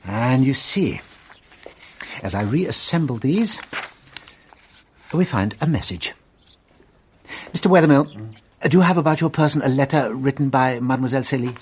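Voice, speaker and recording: An actor playing a shrewd French detective inspector in a radio drama, teasing and deliberate in his theatrical delivery. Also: mid-range voice, expressive, darkish tone, a little hiss or hum, lightly acted. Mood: shrewd, intrigued.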